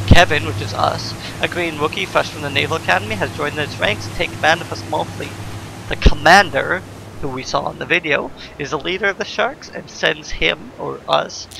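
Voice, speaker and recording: A man hosts a let's play in an informal, explanatory way. His voice sits a bit high and has a lot of creak, with faint noise in the background. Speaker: gaming video host. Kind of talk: casual let's play commentary.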